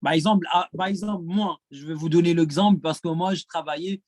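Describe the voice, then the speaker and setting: A man's voice: a little high, brisk, darkish tone, no room sound. A man from Gaza whose first language is Arabic speaks French with an Arabic accent, answering questions at a conference as a French teacher, hesitant and full of repeated words. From Palestine, Gaza.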